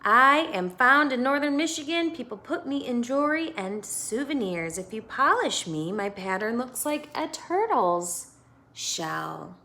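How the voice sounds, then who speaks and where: American woman, teacher, slow pace, bright tone, expressive children's read-aloud, questions for young listeners. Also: on the high side. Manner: expressive, instructive, often asking the listeners questions.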